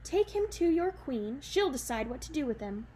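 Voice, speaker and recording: Woman playing a steady, firm grandmother, storybook read-aloud. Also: sits high, normal speed, a little hiss or hum, voice pushed up. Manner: firm and composed. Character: calm, firm older grandmother.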